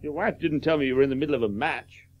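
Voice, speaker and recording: An actor in a radio play playing a courteous, urbane aristocrat with a formal, genteel manner. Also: male voice, mid-range voice, quick pace, natural voice. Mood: genial. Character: courteous aristocratic English lord, urbane family friend. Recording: dull, hissy old radio recording.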